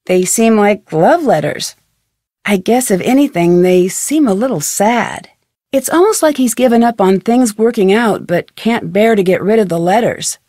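A woman's voice: medium pitch, a little mellow, no room sound, clean studio sound. A young woman voicing a friendly, curious, plucky amateur detective in a video game, with earnest, conversational delivery. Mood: thoughtful, curious.

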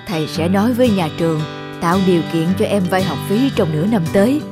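A woman's voice: medium pitch, lively intonation, fast, over music, close to an everyday voice. A woman voicing an encouraging middle-aged male teacher in a cartoon, instructive and reassuring. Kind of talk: animated moral-story dub.

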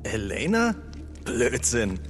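An actor playing a cocky, quick-witted younger male adventurer, casual and teasing, in a dubbed action video game. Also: medium pitch, wildly swooping pitch. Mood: teasing, defensive. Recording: long cave-like reverb, faint music.

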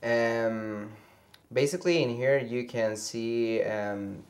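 A young man from Venezuela with a Venezuelan accent, a native Spanish speaker answering interview questions haltingly, searching for words, on a lapel mic indoors. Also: mid-range voice, soft background music. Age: young adult. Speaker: interview guest. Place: indoor room with a fireplace, seated.

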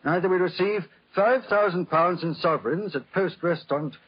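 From a dull-sounding 1940s radio play comes a genteel British lord. His voice is a little high and husky, with an earnest, anxious manner that suits a well-off gentleman seeking help. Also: normal speed. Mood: reading gravely. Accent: British English. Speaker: actor playing a client. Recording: muffled, band-limited 1940s radio recording.

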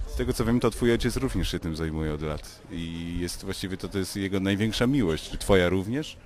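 A man's voice: low-pitched, fairly quick, a little mellow. A Polish man working as a radio interviewer, asking concise, inquisitive questions in an informal style.

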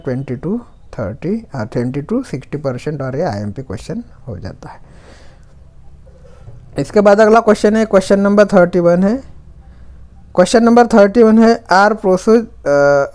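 A man's voice: high-pitched, darkish tone. A young man with an Indian accent, teaching exam prep by reading quiz questions and their options aloud in a voice-over. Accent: Indian English mixed with Hindi. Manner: slow deliberate reading of questions, faster when giving options.

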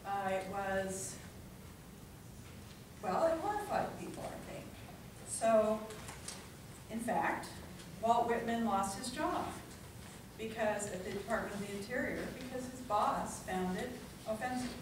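A middle-aged woman speaking and reading expressively at a public event, with deliberate phrasing, picked up from a distance in a meeting room. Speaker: librarian and professor reading at an event. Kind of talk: informal public talk and poetry reading. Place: library meeting room with seated audience. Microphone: distant camera. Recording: roomy, distant microphone, clear rumbling room noise.

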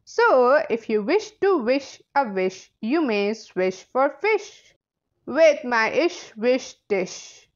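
A woman plays a whimsical creature from a children's storybook, pleased with itself. It speaks in a high, lively, showy sing-song. The sound is slightly lo-fi. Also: slow pace. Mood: whimsical, proud.

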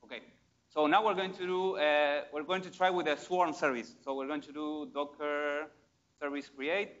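A man's voice: on the high side, little pitch movement, normal speed, a bit bright, lo-fi sound. A man giving a casual, enthusiastic live demo at a tech meetup, with many fillers and restarts. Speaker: conference speaker.

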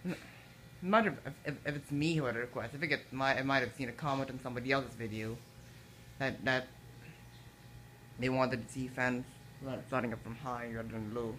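Halting man filming a ceiling fan. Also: medium pitch, fairly even intonation, sharp tone, slight background noise, rough recording. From Philippines. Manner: halting, repeats words and restarts often. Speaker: fan enthusiast vlogger. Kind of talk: casual filmed commentary. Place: indoors in a house kitchen. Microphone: handheld phone camera.

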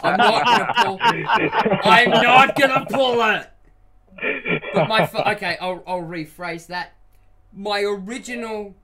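Give me a young man commentating his own football game on a live stream, excitable and swearing. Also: sits high. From Australia. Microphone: headset.

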